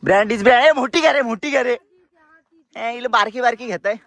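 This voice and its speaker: A man's voice: very high-pitched, fairly quick, a bit bright. Playful young man vlogging. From Maharashtra, India. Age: young adult. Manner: playful, teasing, slangy with English catchphrases. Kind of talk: casual vlog chat.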